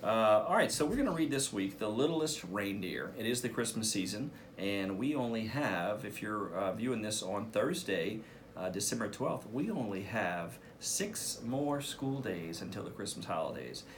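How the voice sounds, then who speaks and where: A man, a school principal, reading a picture book aloud for children in an expressive way, with casual asides. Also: on the low side, moderate pace, slight background noise. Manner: expressive, addresses children directly.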